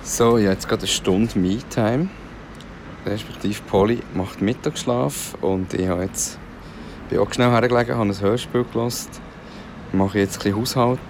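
Lowish Swiss German man over background noise. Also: moderate pace. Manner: reflective, unscripted. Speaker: podcast host and parent. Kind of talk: casual personal audio diary.

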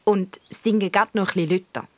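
A woman's voice: mid-range voice, normal speed, darkish tone, close to an everyday voice. A woman playing an earnest young girl who narrates a children's radio play with a Swiss German accent. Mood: earnest, matter-of-fact.

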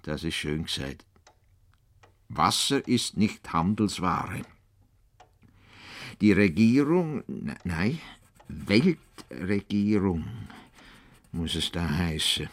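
An actor playing an earnest, self-assured farmer-philosopher in a radio play, pondering and deliberate. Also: male voice, low voice, sing-song, exaggerated voice.

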